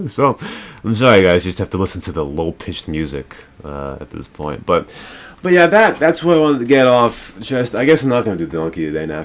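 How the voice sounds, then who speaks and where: A male podcast host ranting in a rambling, profane and emphatic way. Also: deep voice. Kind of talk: casual podcast rant.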